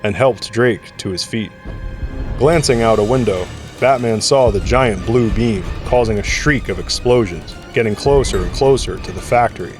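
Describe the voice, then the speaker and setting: A man's voice: fairly low pitch, normal speed. A man reading scripted dramatic narration for an audio drama. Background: music.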